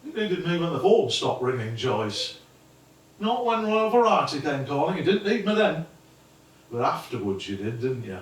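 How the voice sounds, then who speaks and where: A man's voice: a little high, sing-song, moderate pace. A man playing a bitter, working-class English husband, sarcastic and accusing, in theatrical stage dialogue. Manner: sardonic, accusing. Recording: echoey theatre stage, distant microphone.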